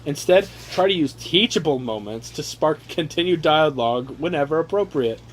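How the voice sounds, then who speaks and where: A man with a slightly high voice reads aloud informally, breaking off into mocking, sweary commentary. His delivery is animated and sarcastic. It sounds like an amateur home recording with light noise behind it. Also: normal speed. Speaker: reader riffing on a handbook aloud to his brothers. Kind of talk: casual comedic reading with mocking asides. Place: casual room with brothers chiming in.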